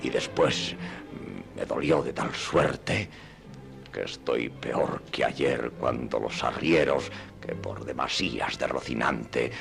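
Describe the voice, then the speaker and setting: Radio play deluded old knight: rumbling bass, slow, grandiloquent, hushed and gravelly, music underneath. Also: male voice, exaggerated voice. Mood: aggrieved, grave. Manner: pompous, hushed and confiding. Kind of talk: radio drama acting in archaic literary Spanish.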